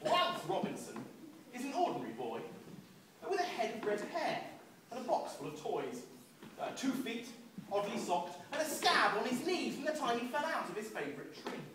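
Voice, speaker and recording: Animated author reading rhyme in echoing hall. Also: male voice, very high voice, normal speed. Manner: expressive, sing-song rhythm. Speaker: children's author reading aloud. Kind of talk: rhyming story reading to an audience. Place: large echoing room at a book event. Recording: echoey hall, distant mic, noisy.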